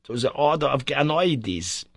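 A man reading aloud as an excitable older professor, pitched well above the reader's own voice, lecturing precisely and emphatically. The storybook scholar sounds exacting and keen, set against a dry, close recording. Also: unhurried, gravelly, breathy edge, dry recording, heavily acted. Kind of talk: audiobook character reading.

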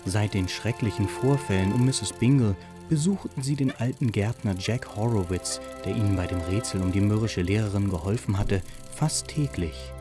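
A man's voice: fairly low pitch, moderate pace, hardly any pauses. A man narrating an audio drama as a scripted storyteller. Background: music.

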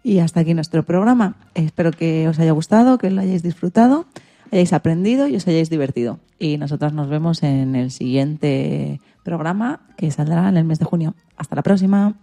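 A woman with a low-pitched voice hosts a radio magazine show. Her tone is dark and soft-edged as she presents scripted segments. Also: lively intonation, normal speed, creaky, soft background music. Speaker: radio programme host.

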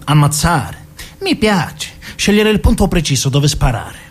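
Mafia killer, southern Italian accent, high voice, swinging intonation, crude, impatient, menacing, radio drama. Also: male voice, sing-song, unhurried, slightly rough, exaggerated voice. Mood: boastful, menacing. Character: bloodthirsty, swaggering mafia hitman, crude and impatient. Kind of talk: stage-style radio drama.

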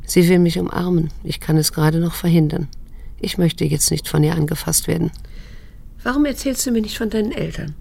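An actress playing a reserved, middle-aged daughter who questions pointedly and with control, in a creaky-voiced radio drama performance. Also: female voice, lowish voice, brisk, mellow. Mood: guarded, inward, then reproachful, probing. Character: grown daughter, reserved, probing, coolly determined.

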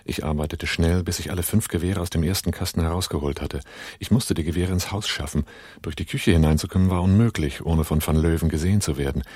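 A man's voice: deep voice, quick pace, a little mellow, slightly rough. An actor playing a commanding, terse, hard-boiled armed man on the run in a German radio drama, both narrating and giving orders. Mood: tense, matter-of-fact.

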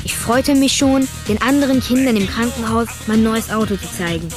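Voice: medium pitch, fairly quick, music in the background, lightly acted. An actor playing an eager young Afghan boy in a radio drama. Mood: excited, delighted.

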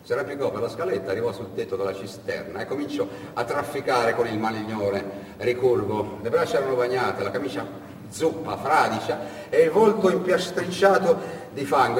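A man narrating as a stage actor, telling a story dramatically and expressively with pointed pauses. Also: mid-range voice. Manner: expressive, with vocal sound effects and pointed pauses. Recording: echoing hall, distant mic, background noise.

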